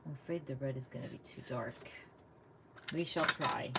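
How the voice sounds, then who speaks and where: A woman's voice: extremely low pitch, normal speed, gravelly, background noise. A woman from the United States chatting casually while she crafts live, thinking aloud and laughing often. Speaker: craft vlogger.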